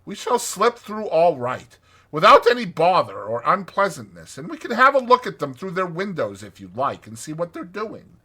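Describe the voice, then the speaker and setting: A man's voice: high voice, wildly swooping pitch, moderate pace, bright, forceful tone, husky, pitched above the performer's own voice, full character voice. A man voicing a breezy, confident rat who reassures his friend in a dramatized storybook. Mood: breezy, reassuring. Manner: emphatic, confident, carefree.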